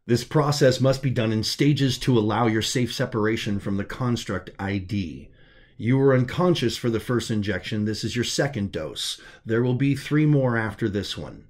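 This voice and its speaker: A man voicing a calm, commanding male officer in an audiobook, measured and reassuring as he speaks over the comms. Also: mid-range voice, lightly acted. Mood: calm, coaxing. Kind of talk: dramatic audiobook character voice.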